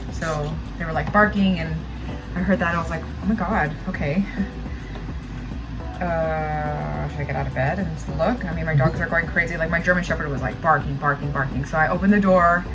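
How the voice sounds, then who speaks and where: A woman's voice: sits low, fairly even intonation, normal speed, over music, poor audio. A young American woman telling a story casually and informally, saying "like" often. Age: young adult. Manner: informal, peppered with "like". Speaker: makeup vlogger. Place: small makeup studio, indoors.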